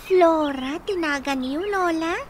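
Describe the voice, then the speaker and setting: A woman's voice: very high-pitched, slow, slight background noise. A woman playing a curious, caring young child in a radio drama, earnest and full of questions. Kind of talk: Ilocano radio drama acting.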